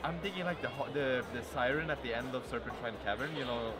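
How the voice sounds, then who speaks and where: Young man talking over loud music. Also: mid-range voice, fast talker, a loud crowd. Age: young adult. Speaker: gamer contestant in a guessing challenge. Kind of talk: casual chat.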